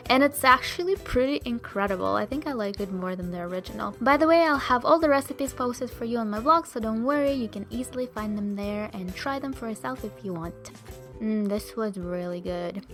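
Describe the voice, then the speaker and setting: A woman's voice: a little high, lively intonation, a little fast, a bit bright, faint music behind. A young woman with a Russian accent, chatting cheerfully to viewers as she narrates her cooking. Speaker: vegan food vlogger.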